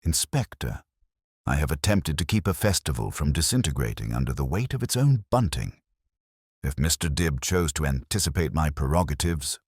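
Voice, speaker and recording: Pompous male official in a mystery tale, as a man reads him; formal, affronted, low husky voice. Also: big pitch swings, normal speed, a little mellow, no room sound, clean studio sound, full character voice. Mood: affronted dignity. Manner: haughty, formal, clipped. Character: stiff, pompous male festival official under accusation.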